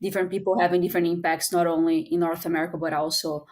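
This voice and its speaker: A woman's voice: on the low side, little pitch movement, racing, a little mellow. A woman hosting an interview podcast in a conversational style. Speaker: podcast host.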